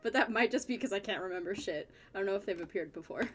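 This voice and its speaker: A woman's voice: mid-range voice, quick pace, crowd noise. A woman streamer giving casual, sarcastic, sweary commentary while playing a game.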